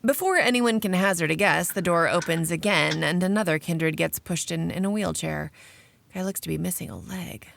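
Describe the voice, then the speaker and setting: A woman's voice: fairly low pitch, moderate pace, creaky, fairly bright tone. A woman playing a shy, awkward vampire researcher in an audio drama, narrating in the first person with dry, self-deprecating asides. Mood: wry, uneasy.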